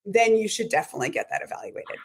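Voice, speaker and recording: A middle-aged woman, a sleep medicine expert, answering interview questions in a conversational way, often restarting her sentences, her voice slightly hoarse. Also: mid-range voice, very animated, quick pace. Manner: talks in restarts and self-corrections. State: voice going hoarse.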